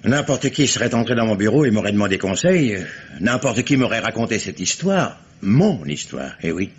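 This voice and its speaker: An actor playing a proud, grieving lawyer under police questioning, formal and hesitant, in a 1950s radio drama. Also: male voice, fairly low pitch, very animated, normal speed, slight background noise. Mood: grim recounting. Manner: formal, hesitant with many fillers, flares into indignation. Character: proud grieving male lawyer, indignant suspect. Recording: muffled old radio broadcast.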